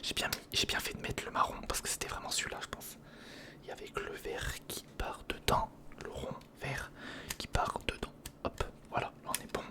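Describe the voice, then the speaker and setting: Whispering male ASMR creator. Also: moderate pace. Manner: murmured, informal running self-talk addressed to the viewer. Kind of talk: whispered ASMR, casual step-by-step commentary while building. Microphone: close recorder microphone.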